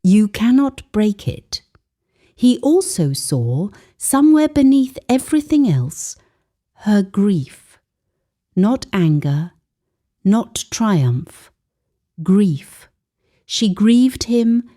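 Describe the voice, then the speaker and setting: A woman narrating a scripted dramatic story in a solemn, measured manner with deliberate pauses for emphasis. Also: mid-range voice, very animated, dark tone.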